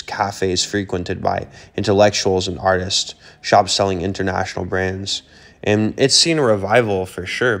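A man hosting a travel vlog, narrating a city walking tour in an informative, easygoing way. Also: low voice. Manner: informative, easygoing, with casual fillers.

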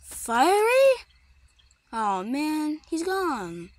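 A woman's voice: high-pitched, big pitch swings, extremely slow, dry recording. A woman doing an exclamatory comedic fan voice-over for a cartoon. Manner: exclamatory, frequently shouting. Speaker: amateur cartoon voice dubber. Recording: loud music over the voice. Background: loud music.

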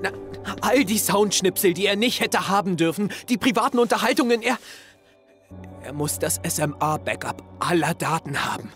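A man's voice: sits high, lively intonation, moderate pace, fairly bright tone. A young man playing a suspicious, sardonic sixteen-year-old schoolboy in an audio drama, blunt and slangy. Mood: suspicious, urgent. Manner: youthful slangy speech, blunt. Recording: music behind the voice.

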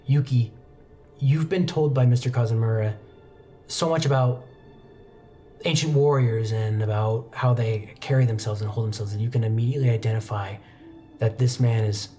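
A young man narrating a tabletop roleplaying game as game master, telling the story in a measured way with pauses. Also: mid-range voice, fairly even intonation, mellow. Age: young adult. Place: indoor studio set, seated at a desk. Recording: background music under the voice.

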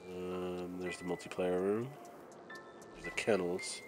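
A man commentating casually while playing a prison game, his voice raspy and nasal from a cold, thinking aloud as he plays. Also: low-pitched, flat delivery, slow pace. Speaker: gameplay commentator. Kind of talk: casual let's-play commentary. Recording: faint game music behind.

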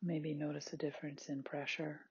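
Very husky, breathy meditation guide, sex-ambiguous voice. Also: medium pitch, little pitch movement, no room sound, dull audio. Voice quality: very husky, breathy, at times near-whispered. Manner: gentle, unhurried, with spaced short phrases. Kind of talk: guided body scan meditation, soothing instruction.

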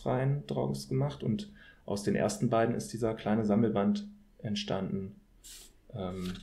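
A man speaking as an academic podcast guest, explaining conversationally in measured, hesitant phrases. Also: lowish voice, gravelly, warm tone. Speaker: podcast guest, political scientist.

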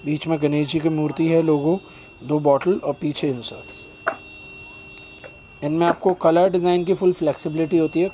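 A middle-aged male salesman gives a brisk, polite product pitch and calls his customer sir. His voice is slightly high, with a soft, mellow tone. Faint noise hangs in the small indoor shop around him.

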